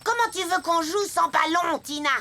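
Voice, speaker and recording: An actor voicing a pushy, playful young friend in an animated children's cartoon, lively and insistent. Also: extremely high pitch, moderate pace, heavy background noise. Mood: annoyed. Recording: music behind the voice.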